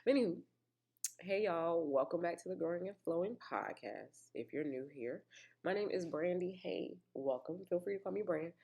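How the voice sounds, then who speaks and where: A woman's voice: fairly low pitch, lively intonation, moderate pace, vocal fry, warm tone. A woman hosting her own podcast in a casual, chatty way, full of asides to listeners and still a little congested. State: slightly congested with a cough. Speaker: podcast host. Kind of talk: casual solo podcast chat.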